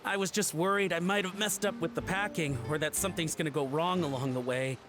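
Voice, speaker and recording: A man's voice: a little high, fast, over music, lightly acted. A man playing a timid, worried young rookie smuggler in a video game. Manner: hesitant, worried. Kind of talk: video game character acting.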